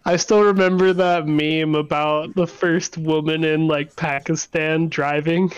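A man's voice: fairly high pitch, level tone, measured pace, clear, resonant tone, no room sound, muffled recording. A man chatting casually with friends in a slangy, profane, joking way.

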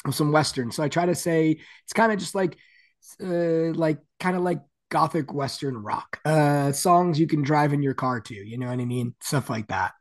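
A man with a deep, gravelly and raspy voice, talking loosely as a guest. His speech rambles, full of "like" and "you know". The sound is close and dry, with no room around it. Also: moderate pace, no room sound. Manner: rambling, many "like" fillers. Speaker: guest musician. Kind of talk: casual interview chat.

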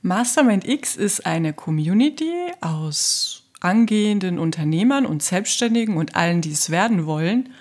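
A woman's voice: mid-range voice, a little mellow. A woman from Austria, co-hosting a podcast and chatting in a friendly, upbeat way about her path. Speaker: podcast co-host. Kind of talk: casual podcast chat.

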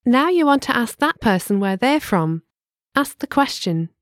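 A woman guides listeners through a language lesson in clear, measured, instructive narration. Her voice sits fairly high and moves a lot in pitch. The recording is dry and close, with no room sound. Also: big pitch swings, no echo.

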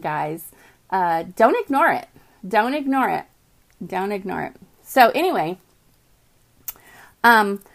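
Woman, fairly high voice, chatty, upbeat, calming tone, live podcast host, home office, computer mic. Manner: chatty and upbeat, greets viewers by name. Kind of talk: casual live video chat.

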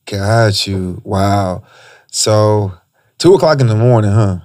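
A man's voice: lowish voice, slow, darkish tone. A man hosting a casual podcast interview, teasing his guest and using loose slang. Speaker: podcast host.

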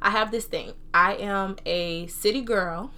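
A woman's voice: mid-range voice, a little slow. A young woman from New Jersey with a mixed Jersey and Southern American accent, chatting casually and animatedly as a solo podcast host. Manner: animated, informal, slangy. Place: own room at home.

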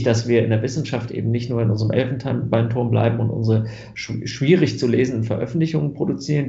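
Man, German, interviewee, gravelly, dark tone, echoey room. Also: medium pitch, lo-fi sound. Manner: thoughtful, many fillers and restarts. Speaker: geneticist interviewee. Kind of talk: interview answers.

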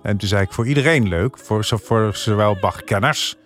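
A man with a Netherlands Dutch accent talks casually on a podcast panel. His pitch is on the low side, and soft music runs behind him. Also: brisk. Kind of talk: casual chat.

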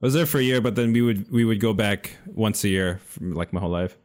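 Laid-back man, quick to laugh. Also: on the low side, darkish tone. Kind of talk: casual chat.

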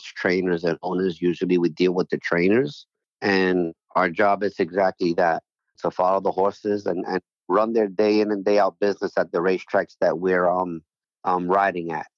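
Deep-voiced man on a dull remote line. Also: level tone, normal speed, no echo. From New York City. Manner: friendly, rambling, frequent "you know". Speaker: jockey agent, guest. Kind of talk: casual interview talk.

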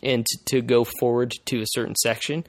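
Halting man with a creaky voice. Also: medium pitch, steady tone, dry recording. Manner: halting, repeats phrases while thinking aloud. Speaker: podcast host. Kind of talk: casual conversational explaining.

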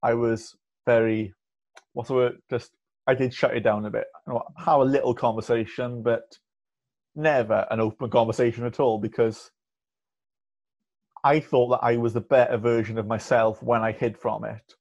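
A young man talks openly in an interview, his stammer showing as blocks and repeated first sounds. His voice sits in an ordinary middle pitch. The recording is muffled and lo-fi. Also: fairly even intonation, moderate pace, a little mellow. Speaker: podcast guest. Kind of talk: candid conversational interview. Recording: muffled lo-fi audio.

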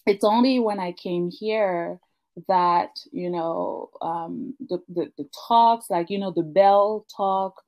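A woman from Africa, a guest in a casual chat, talking reflectively with many pauses and restarts. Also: mid-range voice, darkish tone, no echo. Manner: thoughtful, many fillers and restarts.

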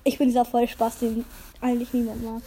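A hoarse woman chatting casually as a podcast co-host, rambling with lots of fillers. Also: fairly high pitch, monotone, brisk, dark tone, a little hiss or hum. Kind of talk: casual chat.

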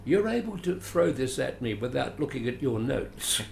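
Old aussie guy telling theatre stories, animated, chuckly, bit of faint hiss in an echoey room. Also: mid-range voice, moderate pace, vocal fry. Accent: Australian. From Adelaide, Australia. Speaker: guest, veteran actor. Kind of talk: storytelling interview. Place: his home. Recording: roomy echo, faint hiss.

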